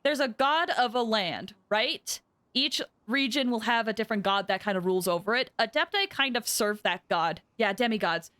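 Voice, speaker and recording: Female game streamer, bright and lively, slightly high, casual and chatty, dry close mic, soft music behind. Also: moderate pace, no room sound. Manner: chatty, excitable, swoops into squeals. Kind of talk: casual live stream commentary.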